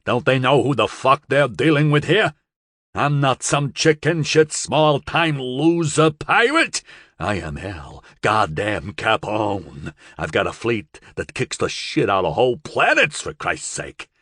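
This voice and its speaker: A man's voice: medium pitch, big pitch swings, measured pace, a bit bright, dry recording, studio quality, pitched above the performer's own voice. A man voicing a volatile, foul-mouthed gangster boss in an audiobook, with a blustering, menacing delivery. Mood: raging.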